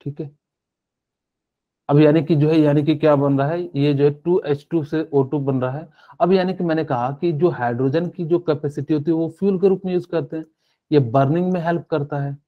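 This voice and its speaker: A man's voice: on the high side, steady tone, moderate pace, phone-quality sound. A male chemistry teacher giving a live online class, explaining step by step with many fillers and asking students questions.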